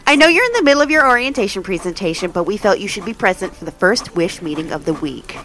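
A woman playing a brisk, weary coordinator. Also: medium pitch, very animated, fairly bright tone. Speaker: department coordinator Jan.

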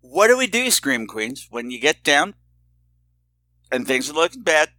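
Bright-voiced man hosting a podcast. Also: fairly high pitch, very animated, measured pace, no room sound, clean studio sound. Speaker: podcast host. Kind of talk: casual personal podcast monologue.

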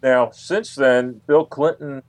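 An American man giving interview answers as an investigator and author, recounting at length in a halting, deliberate way with restarts. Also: medium pitch, steady tone, clean tone, no echo. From United States.

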